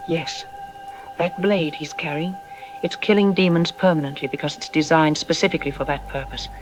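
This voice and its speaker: Man playing a high-pitched, grave expert doctor. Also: steady tone, noisy background, lightly acted. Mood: solemn. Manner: deliberate, ominous, with dramatic pauses. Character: grave, troubled archaeology expert doctor on the news. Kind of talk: apocalyptic audio drama news interview.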